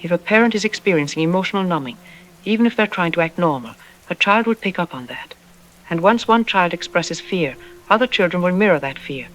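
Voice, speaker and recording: A woman playing a self-assured psychologist on a radio drama interview, explaining in an articulate, didactic and calmly dismissive way. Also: lowish voice, a little fast, lightly acted, poor audio. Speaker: expert interview guest. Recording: music playing under the voice. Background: background music.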